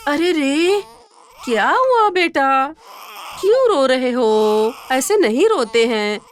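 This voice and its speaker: An actress playing a harsh stepmother who scolds loudly and coos over her own son. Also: fairly quick. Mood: cooing. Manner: scolding, shrill, lively pitch. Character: harsh adult stepmother, cruel and shrill. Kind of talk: melodramatic web drama acting.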